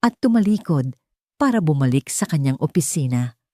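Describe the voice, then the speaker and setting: A woman's voice: deep voice, very animated, normal speed, mellow, no room sound, studio quality. A woman with a Filipino accent reading a scripted dramatic story as a narrator.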